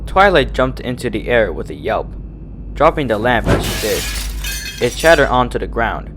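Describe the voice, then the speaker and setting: Animated male story narrator. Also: mid-range voice, normal speed, background noise. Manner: animated, dramatic. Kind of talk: dramatic scripted story narration.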